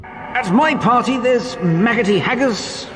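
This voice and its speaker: An actor playing a courteous, vain gentleman ghost in a video game, speaking in a formal, theatrical way. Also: very high-pitched, lively intonation, a little slow. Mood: eager, inviting. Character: genteel, courteous male ghost, vain and eagerly hospitable. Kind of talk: video game character acting. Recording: long reverb, music behind.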